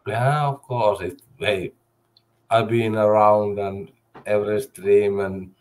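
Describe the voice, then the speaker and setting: A Finnish man in his fifties chatting casually in halting English with a Finnish accent, searching for words. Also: on the low side, fairly even intonation, a little mellow. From Finland. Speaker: livestream guest. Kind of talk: casual chat. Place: indoor room at home, video call.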